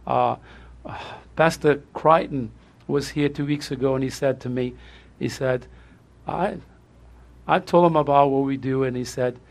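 A man's voice: mid-range voice, steady tone, unhurried, vocal fry, pauses often. A man with a Portuguese accent, a pastor welcoming his church, effusive and repetitive, laughing often.

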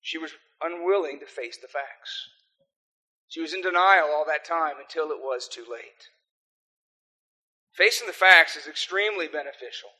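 Bright earnest older pastor, American accent. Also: male voice, on the high side, moderate pace, pauses often. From South Africa. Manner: earnest, pleading in prayer. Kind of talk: sermon with opening prayer.

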